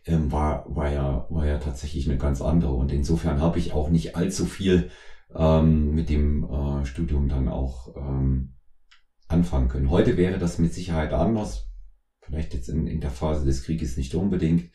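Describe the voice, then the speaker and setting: Very deep-voiced middle-aged man, roomy sound. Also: moderate pace, mellow. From East Germany. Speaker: podcast host. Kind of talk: conversational interview. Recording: roomy, echoing voice recording.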